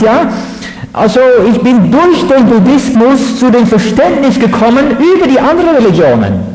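A man from the Netherlands, giving a measured, halting lecture on religion with fillers. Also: high-pitched, a little mellow. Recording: echoey hall.

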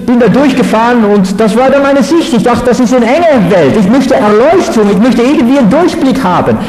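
A man from the Netherlands, giving a measured, halting lecture on religion with fillers. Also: very high-pitched, a little mellow. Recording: echoey hall.

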